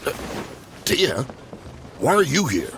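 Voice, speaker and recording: A man's voice: medium pitch, wildly swooping pitch, slow, gravelly, fairly bright tone, slight background noise, exaggerated voice. A man voicing a subdued, uneasy mercenary in a video game, speaking hesitantly. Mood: nervous. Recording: music under the voice.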